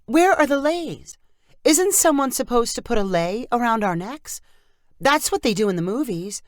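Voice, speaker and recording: A woman narrator acts out a young bride from a storybook, eager and stubborn about her dream honeymoon. Her pitch swings expressively and the sound is dry. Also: animated, normal speed, no echo, lightly acted. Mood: disappointed. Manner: insistent, eager.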